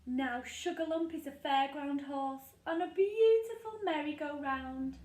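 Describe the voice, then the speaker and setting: Female storyteller for young children, high, slow, sing-song rhyme; echoey, set back from the mic. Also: a little mellow. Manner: sing-song, dramatic pauses. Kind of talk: expressive rhyming picture-book read-aloud. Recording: echoey room, distant from mic.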